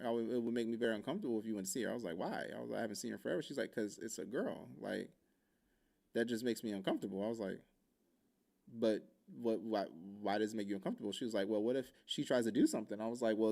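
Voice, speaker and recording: A man's voice: mid-range voice, lively intonation, fast, vocal fry, darkish tone. A young man from Oahu telling a casual story, acting out quoted lines in shifting voices. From Hawaii, Oahu. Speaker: podcast host.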